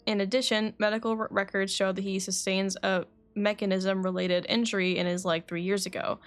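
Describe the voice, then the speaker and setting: An actress voices a terse woman reporting forensic results in a video game. She is dry, detached and matter-of-fact, medium in pitch, over quiet music. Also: little pitch movement, brisk, lightly acted.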